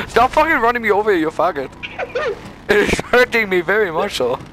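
A man's voice: sits high, wildly swooping pitch, normal speed, background noise. A young man chatting and joking with a friend while gaming, crude and giggly, often slipping into mock-polite talk. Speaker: gamer playing with a friend. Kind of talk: casual gaming banter.

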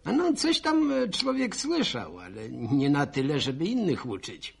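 A man's voice: fairly high pitch, huge pitch swings, measured pace, full character voice. An actor playing a shrewd, folksy countryman, telling things wryly in a vintage radio serial. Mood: wry, musing. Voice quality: creaky, husky. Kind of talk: 1960s radio serial acting. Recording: vintage 1960 radio recording.

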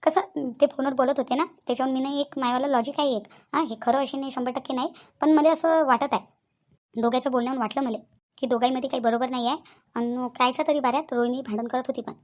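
Anxious village women of a cartoon family drama, acted by a woman, with a high-pitched voice and a Vidarbha Marathi accent. The delivery is quick, emphatic and fretful, circling back over the same words in homely, colloquial speech. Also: fairly even intonation, a little mellow, natural voice. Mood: worried.

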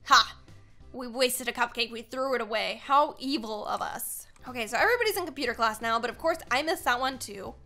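A woman in her twenties with an American accent, giving excitable, playfully dramatic gameplay commentary and chatting casually to viewers at a close mic in a small room. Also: fairly high pitch, brisk, soft background music. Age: 20s. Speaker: gaming video host. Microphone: desk microphone, close.

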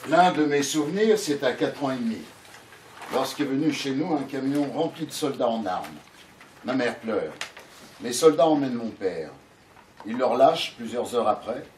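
A man's voice: medium pitch, darkish tone, a little hiss or hum. A man reading a text aloud at a bookshop event. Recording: echoey shop from far off.

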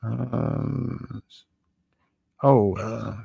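An American man in his seventies hosting a casual live stream in a rambling, halting, conversational way, close on a studio microphone. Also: medium pitch, creaky, dark tone, breathy edge, no echo, lo-fi sound. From San Francisco, Northern California, USA. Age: 70s. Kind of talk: casual live-stream chat, reading and answering comments. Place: small cluttered home studio indoors. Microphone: close boom-arm studio microphone with headphones.

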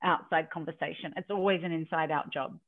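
A woman in her fifties from New Zealand, a leadership coach telling her story in a relaxed video call interview from her home, often quoting what she and others said. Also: low voice, fairly quick, slight background noise. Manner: storytelling, chatty, quotes herself. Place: home office in a country house. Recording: tinny video call audio.

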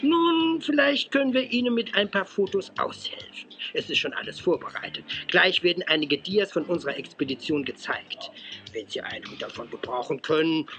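A man's voice: sits high, expressive, moderate pace, pushed and bright, soft background music. A man playing a courteous, formal prince who hosts graciously in a children's audio drama. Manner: formal, ceremonious.

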